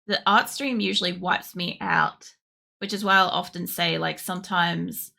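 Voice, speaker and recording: Gravelly, tired woman streamer. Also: lowish voice, fairly even intonation, moderate pace, bright, forceful tone. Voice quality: croaky, gravelly. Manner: rambling, with many fillers and restarts. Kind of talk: casual chat during a drawing stream.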